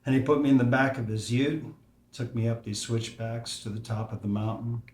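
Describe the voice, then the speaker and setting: An actor playing a wistful, adventurous older father who speaks softly and reflectively in a table read. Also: mid-range voice, flat delivery, normal speed, natural voice. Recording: roomy echo.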